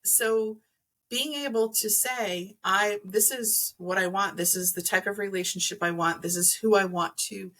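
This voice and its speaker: A woman with a slightly husky voice at a middling pitch talks as a guest expert on a podcast. She explains in a conversational way, pausing and rewording as she thinks aloud. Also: steady tone, bright, forceful tone, poor audio. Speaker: podcast guest, energy healer. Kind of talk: conversational explaining of crystals and chakras.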